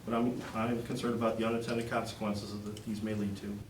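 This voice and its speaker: Man, lowish voice, methodical public comment, heard off-mic in a roomy hall. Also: level tone, a little hiss or hum. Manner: measured, concerned, methodical. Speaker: resident speaking at public comment. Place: township meeting hall. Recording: echoey room mic from a distance.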